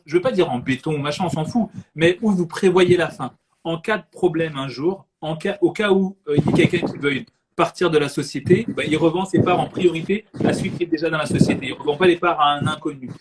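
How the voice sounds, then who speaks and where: Homme enjoué au son bruité. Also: male voice, a little high, brisk. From French Caribbean. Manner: animated, laughs often, repeats words. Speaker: host. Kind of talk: casual live chat. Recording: noisy live stream audio.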